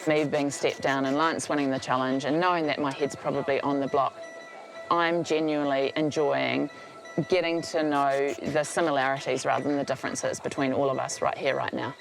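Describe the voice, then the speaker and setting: A woman's voice: very deep voice, steady tone, normal speed, gravelly, over music, low-quality recording. A woman, a reality show contestant speaking candidly and reflectively in a confessional interview. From born in Austria, raised in New Zealand. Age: adult with children.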